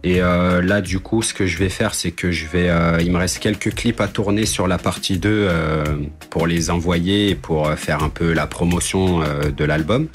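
A man's voice: low-pitched, fairly even intonation, moderate pace, few pauses, soft background music. A young man, an independent rapper with a Portuguese family, answering interview questions casually, hesitant with many fillers and restarts. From France, Portuguese family.

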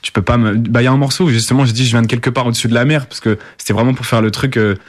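A man's voice: mid-range voice, fairly even intonation, racing, dry recording, studio quality. A young man from the Paris suburbs, answering interview questions casually with many fillers and restarts. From Paris suburbs, France. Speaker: rapper, guest.

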